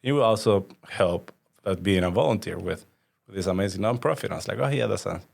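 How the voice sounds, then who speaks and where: A man's voice: low-pitched, fairly quick, gravelly. A man in his thirties from Honduras, a native Spanish speaker with a Honduran accent, chatting easygoingly as a podcast guest. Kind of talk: casual conversational storytelling.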